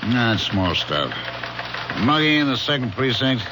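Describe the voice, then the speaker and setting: Sardonic police lieutenant, swooping intonation. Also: male voice, mid-range voice, very animated, a little slow. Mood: bored, sardonic. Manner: gruff, colloquial, sarcastic. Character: brusque, sardonic, world-weary male police lieutenant. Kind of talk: old-time radio drama acting. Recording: noisy old radio broadcast recording.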